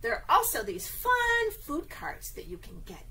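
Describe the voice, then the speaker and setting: A woman with a high voice that swoops widely as she reads to small children. She takes her time in a sing-song story-time manner, often checking in with little questions. There is some noise in the recording. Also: wildly swooping pitch, unhurried, pushed and bright. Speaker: children's librarian reading a picture book. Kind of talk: read-aloud story time for young children.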